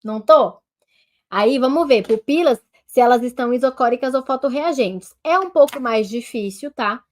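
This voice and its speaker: A woman doctor with a Brazilian Portuguese accent teaching telemedicine over a webcam, nasal and congested from a cold, talking to her viewers in a lively, direct way. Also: a little high, moderate pace. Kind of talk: online medical lesson, demonstrating on herself.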